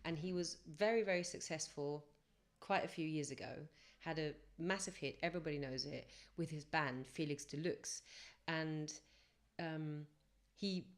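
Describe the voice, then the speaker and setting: Brief: young female singer, low-pitched, chatty and reflective podcast guest, loose and rambling. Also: steady tone, unhurried. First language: English first language. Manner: chatty, reflective, rambling with fillers and self-corrections. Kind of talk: casual podcast interview storytelling.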